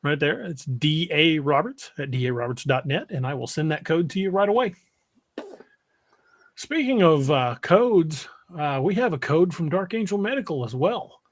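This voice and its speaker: A man hosting a podcast in casual livestream chat, folksy and a bit halting, often repeating words as he thinks. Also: fairly high pitch, expressive. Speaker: podcast host.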